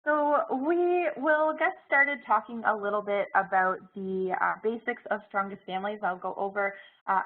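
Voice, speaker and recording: A woman presenting an information session while she has a cold, her voice scratchy, pausing often with fillers. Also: medium pitch. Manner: hesitant, many fillers and restarts. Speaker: presenter, program director. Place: Halifax, Canada. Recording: phone-like narrow audio.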